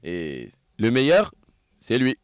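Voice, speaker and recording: A man, Moroccan and born in France, co-founder of an events start-up, pitching his company with upbeat slogans. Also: huge pitch swings, slow pace, clean tone, no room sound, clean studio sound. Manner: enthusiastic pitchman, listing and sloganeering. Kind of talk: promo interview.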